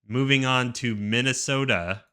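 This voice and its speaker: A man's voice: medium pitch, unhurried, pushed and bright, clean tone. A man hosting a casual sports podcast, easygoing as he steers the talk and hands out grades. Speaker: podcast host.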